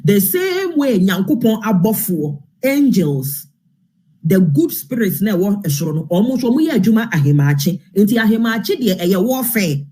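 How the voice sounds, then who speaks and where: High-pitched husky Ghanaian man commentating. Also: big pitch swings, measured pace, mellow. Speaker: political commentator. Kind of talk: informal political commentary, mixing Twi and English.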